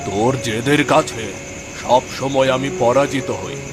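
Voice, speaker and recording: A male actor as a worried, protective father in a cartoon drama. He questions and gently chides in a mid-range voice, with music clearly underneath. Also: medium pitch, measured pace, close to an everyday voice. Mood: concerned, chiding. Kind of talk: cartoon story dialogue.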